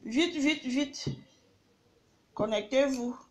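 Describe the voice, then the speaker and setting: A high-voiced older woman with a cold talks to her followers in a live stream. She scolds and lectures with firm emphasis, often repeating a point to drive it home. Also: very slow, noisy background. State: has a cold. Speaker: livestream host. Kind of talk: live video chat with followers.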